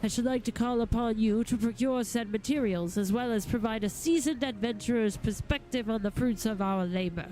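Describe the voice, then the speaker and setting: A woman's voice: on the high side, a little fast, hardly any pauses, warm tone, natural voice, rough recording. A woman voicing a genteel, theatrical goldsmith lord from a fantasy game, with formal, flowery and precise diction. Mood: grandiose. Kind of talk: reading fantasy game dialogue aloud.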